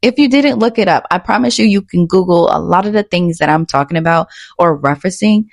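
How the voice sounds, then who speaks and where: A woman's voice: on the low side, brisk, gravelly, no echo, studio quality. A woman giving a candid personal monologue as a vlogger, blunt and emphatic, repeating phrases in short bursts. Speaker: vlogger and author.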